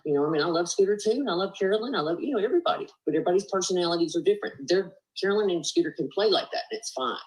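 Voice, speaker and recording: A woman's voice: on the low side, expressive, rapid-fire, hardly any pauses, a little mellow, poor audio. A woman with a Southern US accent talking earnestly on a group video call, rambling with lots of fillers and "you know". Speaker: panelist on a live stream call. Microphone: video call, laptop or phone mic.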